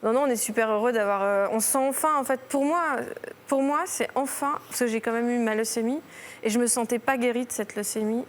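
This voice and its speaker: Woman, 30s, talk show guest, halting, trailing sentences, faint noise. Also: fairly high pitch. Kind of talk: emotional personal testimony. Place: television studio.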